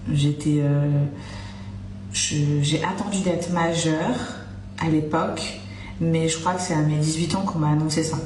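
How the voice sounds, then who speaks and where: A woman's voice: low-pitched, darkish tone. A woman vlogger telling a personal story to her followers in a candid, chatty way. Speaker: social media vlogger. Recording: roomy, faint background noise.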